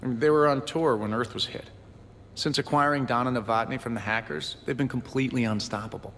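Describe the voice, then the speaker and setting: Male crewman, acted: mid-pitched, friendly, teasing sports fan, casual banter. Reverberant space, slight noise. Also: a little fast, fairly bright tone, close to an everyday voice. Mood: confident, eager. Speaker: video game voice actor. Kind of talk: casual game dialogue acting. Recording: reverberant room, faint ambient noise.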